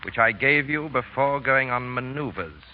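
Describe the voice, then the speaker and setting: An actor in a 1930s radio drama playing a stern, scheming French army officer with a clipped, commanding manner. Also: male voice, mid-range voice, moderate pace, natural voice. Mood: smoothly scheming. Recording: dull, noisy 1930s radio recording.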